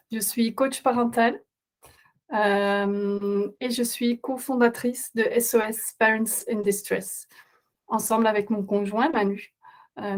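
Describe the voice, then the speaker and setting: A middle-aged woman who is not a native French speaker, presenting a parenting webinar as host with steady explanations and frequent hesitation fillers. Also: mid-range voice.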